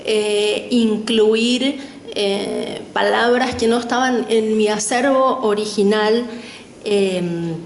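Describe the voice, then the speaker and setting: A middle-aged woman with an Argentine accent speaks as a panel guest writer, thoughtful, pausing with fillers and tag questions. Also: medium pitch. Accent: Argentine Spanish. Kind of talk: reflective talk at a literary panel. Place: lecture hall. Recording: echoey room with faint noise.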